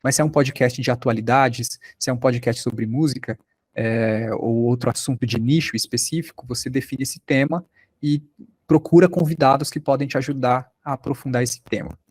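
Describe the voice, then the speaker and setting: A man's voice: medium pitch, fairly even intonation, normal speed, darkish tone, dry recording, clean studio sound. A Brazilian man teaching a podcast workshop in an informal, conversational way over an online video call.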